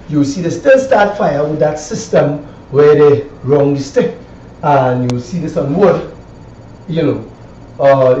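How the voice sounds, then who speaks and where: A Caribbean man with a slightly high voice talks through a hands-on demonstration in a loose, repetitive way, often tagging phrases with a questioning check. The sound is roomy and a little dull, picked up by a camera some distance away. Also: mellow. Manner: rambling, repeats phrases, frequent 'right?' tags. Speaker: woodworker demonstrating hand tools. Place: small cluttered indoor woodwork shop. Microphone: camera a few metres away. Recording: echoey room, distant mic, dull, faint noise.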